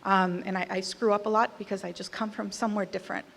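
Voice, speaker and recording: A woman's voice: medium pitch, level tone, quick pace, gravelly, a bit bright. A woman from Costa Rica with an American accent, in her thirties, giving a candid, conversational speech at an awards event, with some emphatic punches. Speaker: award speaker. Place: large hall. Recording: reverberant hall.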